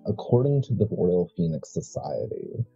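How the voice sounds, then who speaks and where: A man playing a measured, proud, calculating heir to an occult family in a tabletop horror game, speaking deliberately and haltingly. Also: medium pitch, wildly swooping pitch, creaky, dark tone, slightly rough, heavily acted. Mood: proud, scheming. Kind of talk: improvised tabletop horror roleplay. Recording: muffled mic with music behind.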